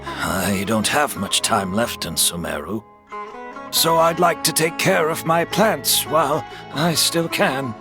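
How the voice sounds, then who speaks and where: A gentle, frail old gardener. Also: male voice, mid-range voice, lively intonation, over music. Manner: soft, kindly, unhurried. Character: gentle older male master gardener, frail but warm. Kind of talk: video game voice acting.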